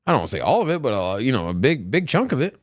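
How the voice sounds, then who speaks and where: A man's voice: medium pitch, very fast, dry recording, studio quality. A man co-hosting a crypto news live stream. He talks casually, with lots of restarts and rambling asides. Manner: animated, rambling, many restarts. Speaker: co-host of a crypto news show.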